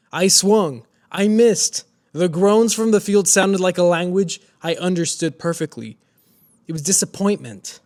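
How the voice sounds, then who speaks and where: Mexican-born man, dramatic storytelling. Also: a little high. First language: Spanish. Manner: dramatic, swings between soft and emphatic delivery. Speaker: motivational speaker. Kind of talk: scripted personal storytelling monologue.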